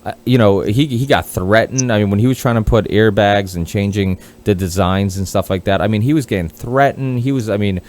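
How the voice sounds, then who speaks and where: Rambling, swearing man, low voice. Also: a little fast, hardly any pauses, darkish tone. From Portugal. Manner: rambling, profane, many restarts. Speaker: podcast host. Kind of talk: casual podcast chat.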